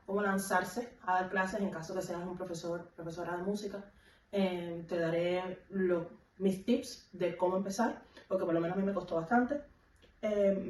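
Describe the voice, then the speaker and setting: A woman's voice: medium pitch, darkish tone. Cuban lady doing a chatty advice vlog, sounds roomy and a bit far from the mic. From Cuba. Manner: instructive, addresses viewers directly with advice. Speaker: vlogger and music teacher. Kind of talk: casual explanatory vlog talk to viewers. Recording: echoey room, distant microphone.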